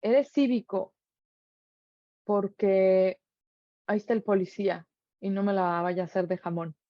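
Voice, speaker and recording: A woman's voice: mid-range voice, fairly even intonation, a little slow, no echo, lo-fi sound. A middle-aged woman with a Mexican accent giving an earnest reflective monologue, full of rhetorical questions. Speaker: speaker sharing reflections.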